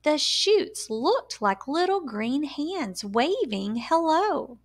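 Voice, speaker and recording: A woman reads a children's story aloud in a high voice. Her intonation swings widely and expressively. She goes slowly and deliberately, giving words emphasis for young listeners. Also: very animated. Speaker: storyteller reading a picture book aloud.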